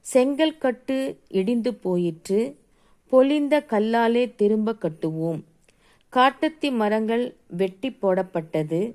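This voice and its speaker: A woman reading Bible verses aloud solemnly, phrase by phrase, in a measured and deliberate manner. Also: fairly high pitch, warm tone. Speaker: scripture reader.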